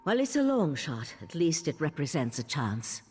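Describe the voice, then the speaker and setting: An actress playing a grave, weary mage leader in a fantasy video game, speaking formally and deliberately with wry skepticism. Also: female voice, sits low, sing-song, a little mellow, a little hiss or hum. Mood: businesslike, concerned. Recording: long hall-like reverb.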